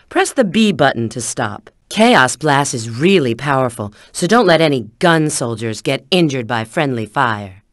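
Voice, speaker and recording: Casting: confident female bat treasure hunter, video game guide; coy, teasing, very animated intonation, dry studio sound. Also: rumbling low, no echo. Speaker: video game character giving hints. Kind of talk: video game voice acting, tutorial prompts.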